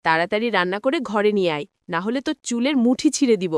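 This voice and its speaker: A woman's voice: mid-range voice, lively intonation, fast, no echo, clean studio sound, close to an everyday voice. A woman voicing a cruel, scolding stepmother in a cartoon, with a harsh, taunting and threatening manner. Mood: angry scolding. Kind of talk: cartoon voice acting.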